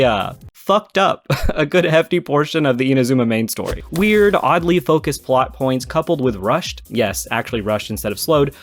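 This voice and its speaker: A man narrating a scripted video essay as a game story analyst, with casual, irreverent, profane asides and a jokey manner. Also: on the high side. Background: background music.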